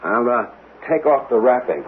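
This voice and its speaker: An actor playing an earnest, courteous gentleman in a ghost mystery, measured and polite in a radio drama. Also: medium pitch, sing-song, lightly acted. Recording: narrow, noisy old radio broadcast.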